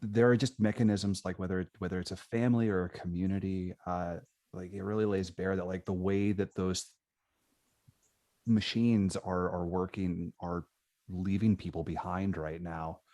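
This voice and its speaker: A man with a low voice, captured close and dry. He thinks aloud in a group discussion, halting often and feeling for the right word in a tentative, thoughtful way. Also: fairly even intonation, dark tone, no echo. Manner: halting, tentative, searching for words.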